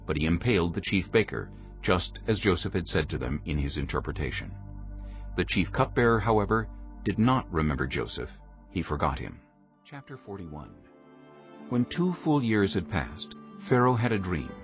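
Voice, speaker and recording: A man giving a solemn, measured and dramatic narration of scripture, formal in delivery. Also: sits low, sing-song, highs cut off, soft background music.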